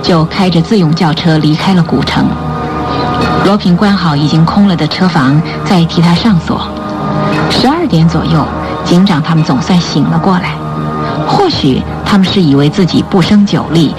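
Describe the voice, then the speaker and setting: A narrator delivers scripted radio drama storytelling. The voice sits at a middle pitch. Music plays clearly underneath. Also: moderate pace, low-quality recording.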